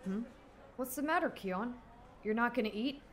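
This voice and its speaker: A woman's voice: fairly high pitch, animated, moderate pace, a little hiss or hum, natural voice. A woman playing a mild, chatty and polite teenage schoolboy classmate in an anime fandub. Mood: casual, matter-of-fact.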